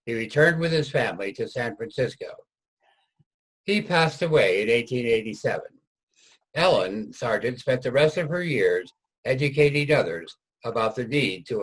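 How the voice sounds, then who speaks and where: Slightly husky male narrator. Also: medium pitch, a bit bright, rough recording. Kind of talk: scripted narration for readers' theater.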